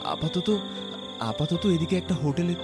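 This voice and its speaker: A man's voice: a little high, expressive, moderate pace, over music, close to an everyday voice. A man playing a distraught, devout husband and father in a horror audio drama, emotional and imploring, repeating his phrases. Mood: remorseful, pleading.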